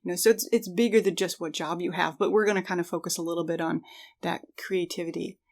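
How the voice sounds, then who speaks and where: A woman's voice: on the low side, fairly even intonation, fast talker, darkish tone. Earnest woman co-hosting a podcast. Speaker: podcast co-host. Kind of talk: conversational podcast discussion.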